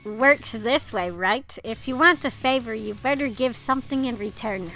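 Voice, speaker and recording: Woman reading as earnest, smitten young reporter, high-voiced. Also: moderate pace, pushed and bright, rough recording. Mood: flustered, polite. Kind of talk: visual novel read-aloud.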